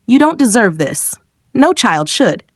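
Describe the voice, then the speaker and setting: Kind gentleman for a storybook tale, read by a woman, gentle, concerned, protective, closely recorded. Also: fairly high pitch, very animated, measured pace, breathy edge, no room sound, clean studio sound, heavily acted. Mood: resolute. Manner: soft, worried, protective. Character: kind, concerned well-dressed man, a compassionate stranger.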